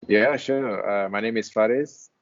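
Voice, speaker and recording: A young man from Malaysia, a freelance web developer, talking casually on a video call as an interview guest, relaxed and hesitant, with many restarts. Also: fairly low pitch, unhurried. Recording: dull lo-fi call audio.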